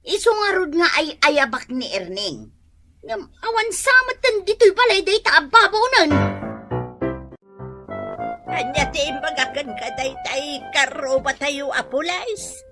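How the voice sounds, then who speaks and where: An actress playing a fretful, nosy village mother in an Ilocano radio drama, with drawn-out exclamations. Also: high voice, sing-song, over music. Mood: suspicious.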